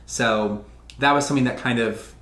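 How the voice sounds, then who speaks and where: A man's voice: mid-range voice, moderate pace, fairly bright tone. A man speaking as a podcast guest, chatting casually and candidly. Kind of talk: casual chat. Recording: echoey room.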